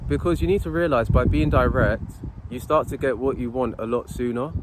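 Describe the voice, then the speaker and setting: A young man stands on a city street and talks to his phone camera. His delivery is earnest and a little preachy, and he circles back to the same motivational phrases. Faint traffic hums behind him, and his voice sits at a middle pitch. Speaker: motivational vlogger. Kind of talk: unscripted self-help talk to camera.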